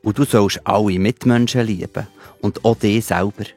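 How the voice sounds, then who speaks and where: Male storyteller for children's Bible audio, lowish voice, Swiss German accent, faint music underneath. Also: fairly even intonation, moderate pace, a little mellow, husky.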